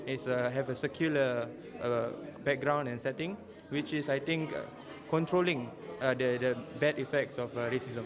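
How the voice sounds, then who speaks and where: A man's voice: medium pitch, steady tone, normal speed, music in the background. A young man from Singapore answering interview questions hesitantly, with frequent "uh" fillers. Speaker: student interviewee. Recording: crowd chatter behind.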